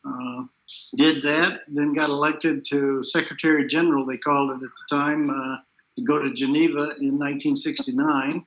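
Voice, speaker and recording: An older man gives a quick, lively account of himself on a video call. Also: fairly high pitch, low-quality recording.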